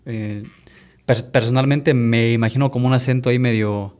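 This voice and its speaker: A man's voice: mid-range voice, steady tone, moderate pace, a little mellow. A man co-hosting a casual chat, hesitant and often stumbling over his words.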